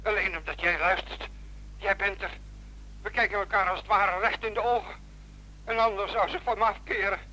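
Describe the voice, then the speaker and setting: A troubled young-sounding policeman, with a high, sharp voice. He speaks as a reluctant, desperate man on the telephone in a radio drama. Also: fairly even intonation, moderate pace, rough recording. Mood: anguished, confiding. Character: reluctant policeman posing as a desperate suicidal caller. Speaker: police officer. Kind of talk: Dutch radio play acting.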